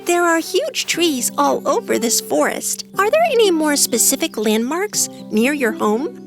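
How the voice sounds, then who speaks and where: A gentle young princess from a children's cartoon fairy tale, acted by a woman. Her voice is very lilting and high, with slow, reassuring delivery. She sounds kind and helpful. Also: very animated, over music, exaggerated voice. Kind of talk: animated fairy tale voice acting.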